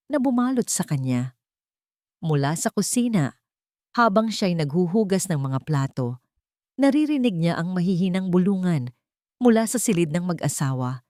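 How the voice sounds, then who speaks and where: A woman's voice: lowish voice, a little mellow, no echo, studio quality. A woman with a Filipino accent reading a scripted dramatic story as narrator.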